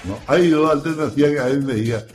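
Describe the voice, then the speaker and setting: A man hosting a radio show in casual chat, relaxed and rambling, full of fillers and restarts. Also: on the high side, fast talker, faint music behind.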